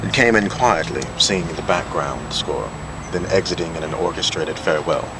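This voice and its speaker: A man with a very deep voice reads a piece of literary fiction aloud as a storyteller. The recording is amateur, with clear background noise running under his voice. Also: normal speed. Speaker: reader of a novella. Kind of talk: literary prose reading, storytelling. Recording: noisy amateur recording with steady background hum.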